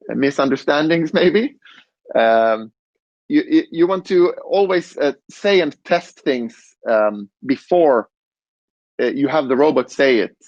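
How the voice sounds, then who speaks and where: A man on a conference panel explaining design, relaxed, with fillers and restarts. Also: mid-range voice, no echo, dull audio. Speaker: robot interaction designer.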